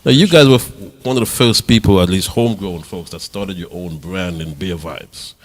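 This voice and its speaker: A man hosting a podcast, asking eager questions in a casual interview chat. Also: deep voice. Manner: eager questioner, quick backchannels. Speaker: podcast host.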